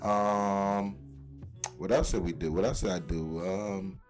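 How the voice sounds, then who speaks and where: Low-voiced man, podcast co-host, playful casual banter, faint music underneath. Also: fairly even intonation, slow pace. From DC area. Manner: playful, repeats "yes, sir".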